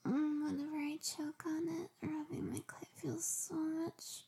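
A woman performing an intimate audio roleplay in a breathy, half-whispered voice. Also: sits high, little pitch movement, measured pace, mellow.

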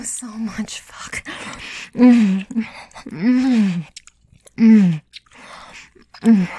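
A woman whispering intimately in an erotic audio style, breathy and sultry, with slow murmurs and moans. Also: medium pitch, dark tone, a little hiss or hum.

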